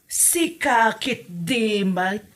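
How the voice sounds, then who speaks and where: A woman playing an inquisitive village food seller in a comedy audio drama, asking things in a drawn-out way. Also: mid-range voice, animated, fairly bright tone. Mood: curious. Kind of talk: comedy radio drama acting.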